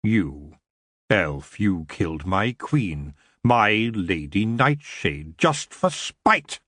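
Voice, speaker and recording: Languid elf king, deep and slow, as a man reads him. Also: sing-song, fairly bright tone, no room sound, studio quality. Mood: menacing. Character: ancient stinking antlered male elf king, lazy and menacing.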